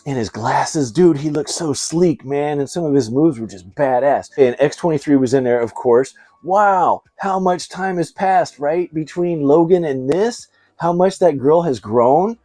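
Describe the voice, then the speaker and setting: A man's voice: fairly high pitch, measured pace, warm tone, no room sound. A middle-aged man hosting a casual movie review, talking in a slangy, effusive and emphatic fan's way. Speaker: video host, movie reviewer.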